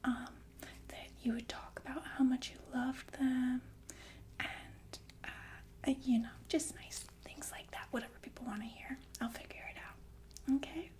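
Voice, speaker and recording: A woman playing a softly menacing, falsely soothing killer nurse in a whispered ASMR roleplay, halting and casually polite. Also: sits high, fairly even intonation, close to an everyday voice. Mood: calmly menacing. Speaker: ASMR performer.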